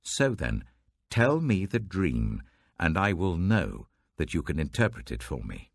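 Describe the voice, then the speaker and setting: A British man voicing an imperious, threatening ancient king in a scripture audiobook, commanding and deliberate. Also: deep voice, big pitch swings, creaky, no echo, studio quality, full character voice. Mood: stern, threatening.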